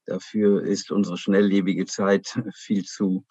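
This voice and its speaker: A man's voice: sits low, little pitch movement, takes it slowly, warm tone, breathy edge, no room sound. A man from Israel, a professor joining remotely, answering thoughtfully with some hesitation. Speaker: professor, guest. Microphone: remote connection. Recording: dull dry remote line.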